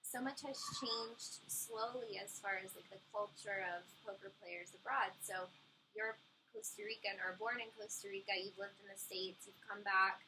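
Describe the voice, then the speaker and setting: A woman hosting a casual interview, asking relaxed, engaged questions. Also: medium pitch, moderate pace. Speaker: interviewer, host. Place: guest's home. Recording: audible room noise.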